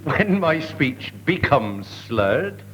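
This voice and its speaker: Bloke doing a slurry welsh eccentric, high voice swooping everywhere, rambling panel-comedy character. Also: male voice, very animated, very slow, a bit bright, a little hiss or hum, full character voice. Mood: whimsical. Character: rambling eccentric Welsh son of a druid bard.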